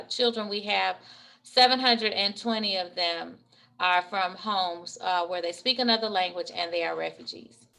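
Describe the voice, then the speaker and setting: A woman's voice: medium pitch, gravelly, pushed and bright. A woman school principal giving an earnest webinar presentation, speaking with pride about her school's work. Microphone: remote webinar audio.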